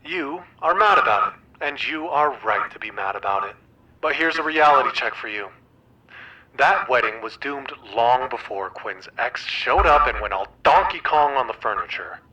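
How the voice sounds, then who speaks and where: An actor playing a dramatic, needy, exasperated geeky best friend in a game, with a theatrical, emphatic, rambling delivery. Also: male voice, mid-range voice, expressive, measured pace, pushed and bright, low-quality recording. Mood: exasperated, defensive.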